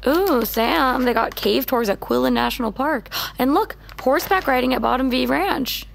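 Gravelly young woman, guarded and wry. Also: sits high, normal speed. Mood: brightly coaxing. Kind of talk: naturalistic audio drama acting. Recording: clearly noisy ambient sound bed.

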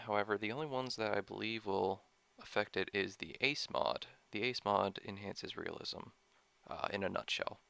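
A man's voice: on the low side, vocal fry, a bit bright. A young man casually commenting on his gameplay, chatty and self-deprecating. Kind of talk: let's play commentary.

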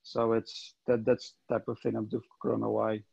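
A man's voice: lowish voice, completely flat delivery, moderate pace, gravelly, dark tone, breathy edge, dry recording. A man from Ukraine introducing himself casually on a video call, loose and full of fillers. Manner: loose, filler-heavy, self-deprecating. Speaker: tech coordinator. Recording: dull dry call audio.

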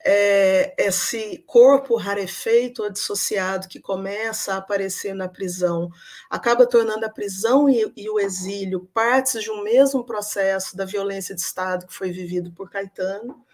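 A young woman delivers an academic talk over a webcam from a room at home. Her pitch sits in the middle of the range, and she moves thoughtfully through her points with frequent hesitations and fillers. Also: steady tone, normal speed, darkish tone. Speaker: lecturer. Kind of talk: academic talk on song and literature. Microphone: webcam on video call.

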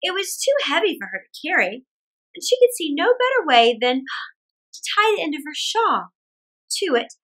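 A middle-aged woman reading a story aloud to young children in a theatrical, expressive way with drawn-out words. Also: high-pitched, poor audio.

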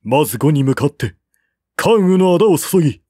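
A man's voice: fairly high pitch, lively intonation, moderate pace, mellow, breathy edge, no echo, voice pushed up. Aging warlord king, played by a male reader: grave, resolute, archaic and commanding, audiobook epic, dry sound. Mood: resolute, indignant. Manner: commanding, archaic formal speech.

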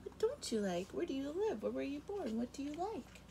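A young woman teacher reading a picture book aloud to kindergarten children, very expressive, her loudness rising and falling sharply. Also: sits high, moderate pace, creaky, a little hiss or hum. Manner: expressive and animated, with big swings in loudness. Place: home room in front of a rainbow feather backdrop.

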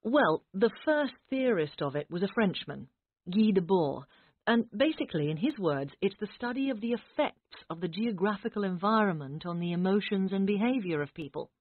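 A woman's voice: medium pitch, expressive, moderate pace, no echo. A woman explaining an academic subject in a conversational way, like a college teacher. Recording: thin phone-like audio, dry.

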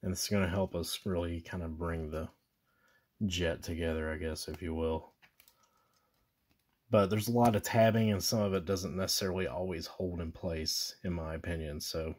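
Low-voiced man talking through a toy. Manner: casual, talks viewers through steps with fillers and self-corrections. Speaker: toy reviewer. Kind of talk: casual hands-on toy demonstration. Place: indoors at a table with a posterboard backdrop.

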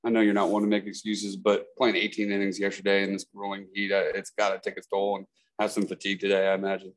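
A male sports reporter asks questions at a press conference. His voice is fairly low and extremely flat, and he moves along at a fast clip.

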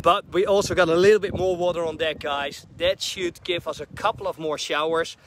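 Dutch guy showing off his boat, keeps saying guys, highish voice, some harbor noise behind. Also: steady tone, a little fast, a bit bright. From Netherlands. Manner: enthusiastic, chatty, addresses viewers as guys. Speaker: sailing vlogger. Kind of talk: casual vlog boat tour. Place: on a sailboat in a harbor, cabin and deck. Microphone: camera at arm's length.

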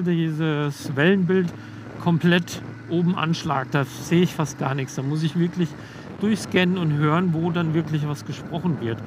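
A young man riding a motorcycle on country roads, casually explaining gear through his helmet intercom mic, relaxed, with frequent filler words. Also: fairly high pitch. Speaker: motovlogger. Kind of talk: casual motovlog talk while riding. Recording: helmet mic with road noise. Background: road and vehicle noise.

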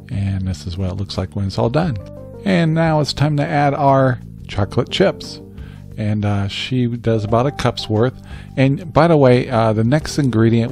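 A man's voice: mid-range voice, creaky, a little mellow. An older man casually narrating a home cooking video as host, folksy and chatty with many filler sounds.

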